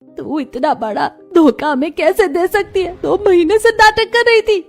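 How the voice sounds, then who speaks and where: A woman's voice: extremely high pitch, unhurried, soft background music. A woman voicing a stern, scolding older mother-in-law in a dramatic, reproachful cartoon family drama. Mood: outraged.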